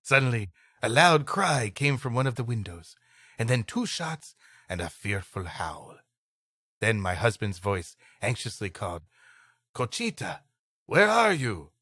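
A man's voice: fairly low pitch, expressive, a little slow, a bit bright, slightly rough, no echo. A man co-hosting a comedy podcast, reading a story aloud and playfully breaking off to riff. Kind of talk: story reading broken up by comic banter.

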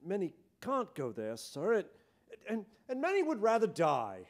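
A man playing a polite, earnest charity collector in a staged radio drama, courteous and haltingly stammering. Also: high-pitched, huge pitch swings, heavily acted. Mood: flustered, insistent. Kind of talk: live staged reading of 1930s radio drama. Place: theatre stage, live performance. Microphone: boom-stand stage microphone.

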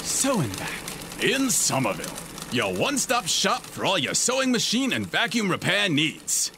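An actor playing a zany, over-eager local radio ad pitchman in a comic audio drama, with a punchy, exaggerated sales delivery. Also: wildly swooping pitch, normal speed, creaky, bright, forceful tone, a little hiss or hum, full character voice. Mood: brash, eager.

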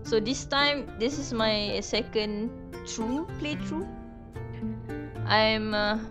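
A young woman from Malaysia chatting casually while playing a game, thinking aloud with fillers. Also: medium pitch. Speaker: streamer. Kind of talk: casual let's-play commentary. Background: game music.

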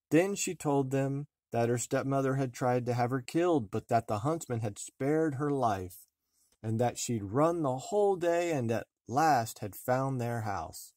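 A man reading a storybook aloud in measured, deliberate narration. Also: medium pitch, lively intonation, darkish tone, dry recording, clean studio sound. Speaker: storybook reader, a father. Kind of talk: read-aloud fairy-tale narration.

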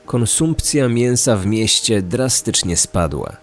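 Low-voiced man narrating true crime over music. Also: sing-song, takes it slowly. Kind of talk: scripted documentary narration.

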